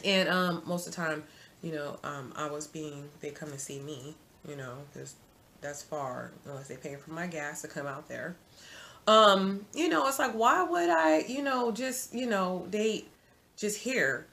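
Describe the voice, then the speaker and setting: Woman, 40s, slightly low voice, animated advice vlog, seated at home, webcam. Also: a little slow, creaky. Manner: chatty, animated, addresses viewer as sis. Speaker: vlogger. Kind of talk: casual advice to viewers. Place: home room, indoors.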